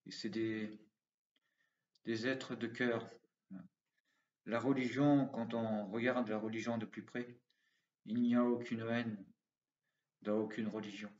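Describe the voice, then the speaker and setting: A man's voice: medium pitch, level tone, takes it slowly, long pauses, darkish tone. A man making an earnest, impassioned appeal straight to viewers, repeating slogans. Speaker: commentator. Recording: roomy, distant, dull sound.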